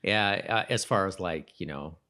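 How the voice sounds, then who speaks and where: Lowish-voiced man, informal podcast co-host. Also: normal speed, bright, forceful tone. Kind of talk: casual chat about a TV show.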